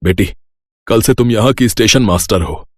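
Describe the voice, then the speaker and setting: A man's voice: on the low side, moderate pace, no room sound, clean studio sound, natural voice. A man playing a stern, middle-aged senior railway official in a narrated story, speaking with authority. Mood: approving. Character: stern older senior railway official, grateful then scolding. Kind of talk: acted dialogue in a narrated story.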